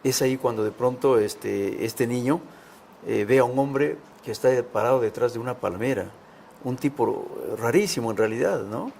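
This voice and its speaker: A man with a gravelly voice, talking casually and haltingly as a guest author. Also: mid-range voice, a little mellow, a little hiss or hum. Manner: hesitant, halting phrasing. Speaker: guest author, children's writer. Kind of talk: casual interview talk and storytelling.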